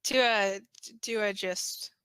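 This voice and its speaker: A woman playing an excitable, nervous teenage boy with a dramatic, incredulous manner in an amateur audio drama. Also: mid-range voice, slow pace, smooth, no room sound. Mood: hesitant.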